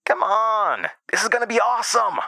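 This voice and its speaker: A man voicing a mischievous, excitable fifteen-year-old boy in a horror drama, with an eager, coaxing manner. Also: sits high, normal speed, gravelly, dry recording, pitched above the performer's own voice, exaggerated voice. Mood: excited, coaxing. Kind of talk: acted dialogue within horror drama narration.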